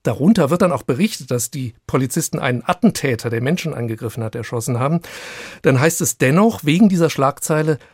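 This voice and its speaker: A man's voice: medium pitch, expressive, fast, breathy edge, dry recording, studio quality. A man from Germany, co-hosting a radio feature with scripted narration and interview questions. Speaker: co-host of a radio programme.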